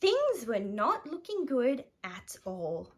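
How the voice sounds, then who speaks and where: Aussie lady reading a bedtime story for kids, really expressive, high voice that swoops around. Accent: Australian. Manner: animated, dramatic pauses and emphasis. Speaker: storyteller reading a picture book.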